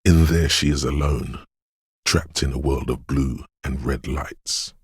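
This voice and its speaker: Game role: ominous dreamlike inner voice, very raspy, unhurried, close and dry, cryptic and hushed in its intensity. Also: male voice, no echo, exaggerated voice. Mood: somber. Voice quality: very deep, gravelly, husky. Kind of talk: dramatic game narration.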